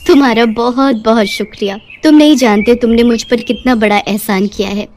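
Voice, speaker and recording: A woman voicing a kind, grateful fairy in an animated moral story, speaking in an earnest, pleading and explanatory way. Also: on the high side, normal speed, a little hiss or hum, close to an everyday voice. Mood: grateful, gracious.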